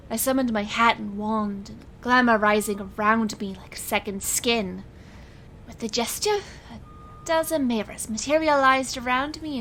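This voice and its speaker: A young stage magician heroine with a fairly high, bright and forceful voice. She is sarcastic, theatrical and defiant, moving between first-person narration and dramatic dialogue. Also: a little hiss or hum, rough recording.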